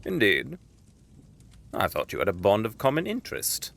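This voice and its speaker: An actor playing a grave, worried Victorian lawyer in an audio drama, with a gravelly voice and a formal, measured, brooding manner. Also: male voice, fairly low pitch, huge pitch swings, a bit bright, full character voice. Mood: probing, polite.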